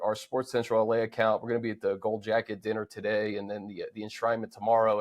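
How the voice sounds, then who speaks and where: A man's voice: on the low side, darkish tone. A man hosting a sports show, chatting about football in an informal, conversational way. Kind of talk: casual sports talk.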